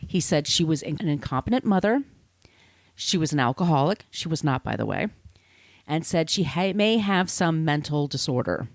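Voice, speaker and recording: A woman's voice: low-pitched, animated, normal speed, dry recording. A woman hosting a podcast, telling literary history conversationally in an informal, explanatory way with asides. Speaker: podcast host.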